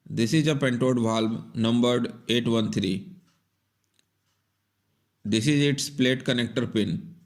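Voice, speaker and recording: A man giving scripted, step-by-step instructional narration in a plain, methodical way. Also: mid-range voice. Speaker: repair tutorial narrator.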